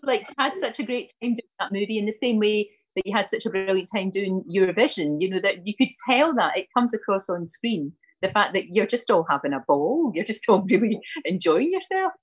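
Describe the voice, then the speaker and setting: Scottish woman, TV host, husky voice, effusive and chatty. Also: mid-range voice, lively intonation, a little fast, hardly any pauses, rough recording. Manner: warm, effusive, repeats words. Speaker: morning TV host. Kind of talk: TV interview chat.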